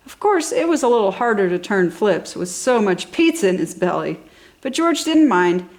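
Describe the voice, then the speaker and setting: Woman, children's storybook narrator, highly varied pitch, enthusiastic, emphatic delivery. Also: normal speed. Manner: enthusiastic, animated, exaggerated emphasis for young children. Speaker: storybook reader for a toddler channel.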